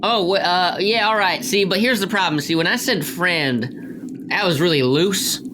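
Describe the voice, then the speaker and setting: A man's voice: high voice, normal speed, noisy background, close to an everyday voice. A man playing a folksy bounty hunter in an improvised tabletop game, rambling and hesitant as he talks. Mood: hesitant, candid. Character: folksy, rambling male bounty-hunting tracker. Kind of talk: improvised tabletop role-play. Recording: music behind the voice.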